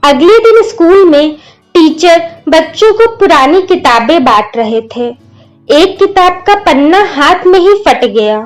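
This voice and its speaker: High-voiced, slow-paced woman storyteller. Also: expressive, rough recording. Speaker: narrator. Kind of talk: scripted narration of a moral story. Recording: music under the voice. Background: instrumental music.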